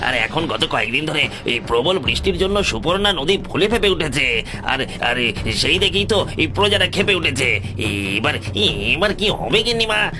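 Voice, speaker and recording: A man's voice: a little high, very animated, normal speed, background noise. A man playing an anxious, deferential estate steward in a cartoon, agitated and stammering. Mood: alarmed. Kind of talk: Bengali cartoon voice acting. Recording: music behind the voice.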